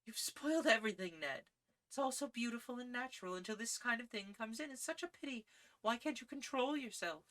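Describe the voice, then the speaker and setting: Reader putting on a proud romantic young lady, high and swooping, bright earnest voice. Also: animated, a little fast. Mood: reproachful. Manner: earnest, lofty, emphatic. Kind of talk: audiobook character reading.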